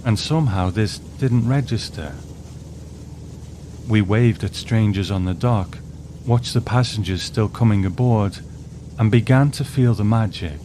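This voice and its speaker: A man's voice: lowish voice, noisy background. A man hosting and narrating a scripted review with story readings. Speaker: host and narrator.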